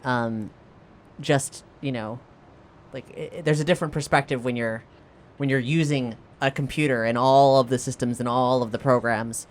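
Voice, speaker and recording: A woman's voice: extremely low pitch, fairly even intonation, moderate pace. A woman playing a tabletop game, chatting casually and a little tentatively in and out of character. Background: soft music.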